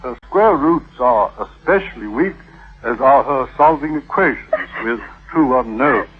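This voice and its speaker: An actor playing a doting, fussy husband in a vintage radio drama, speaking formally and gently, as if to a child. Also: male voice, medium pitch, animated, a little slow, close to an everyday voice. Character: doting, fussy husband, a grocer, treating young wife like child. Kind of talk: 1950s radio drama acting. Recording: tinny vintage radio broadcast.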